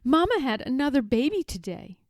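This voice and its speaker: A woman's voice: sits high, sing-song, fairly quick, darkish tone, no echo, studio quality. A woman voicing a timid, lonely six-year-old princess in a storybook, small and plaintive. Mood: dejected.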